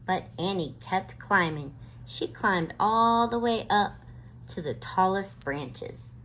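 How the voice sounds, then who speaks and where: A woman's voice: mid-range voice, lively intonation, slow pace, a bit bright, slight background noise, low-quality recording. A woman hosting a children's storytime and reading a chapter book aloud. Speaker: storytime host and reader.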